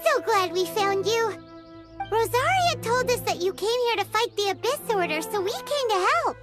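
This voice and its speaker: A woman voicing a tiny, excitable childlike fairy companion in a video game, squeaky and perky, with music playing behind her. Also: moderate pace. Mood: chatty. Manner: perky, exclaiming, expressive. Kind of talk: video game cartoon acting.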